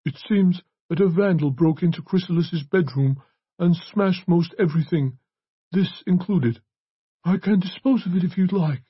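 A man voicing a solemn male priest in an audiobook, speaking in a gentle, measured and stately manner. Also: sits high, husky, dry recording, muffled recording, pitched above the performer's own voice. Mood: gentle, apologetic. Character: solemn, remorseless male priest reading a will aloud. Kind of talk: audiobook character voice.

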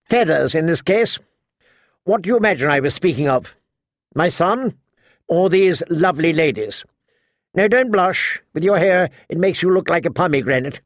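A man voicing a sharp-tongued old noblewoman in an audiobook, imperious, blunt and talkative. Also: a little high, lively intonation, normal speed, dry recording, clean studio sound, voice pushed up. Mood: tart, bossy. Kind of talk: audiobook character acting.

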